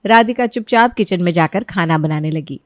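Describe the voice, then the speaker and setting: A woman's voice: on the low side, normal speed, no echo, clean studio sound. A woman reading scripted narration as the storyteller of a moral tale.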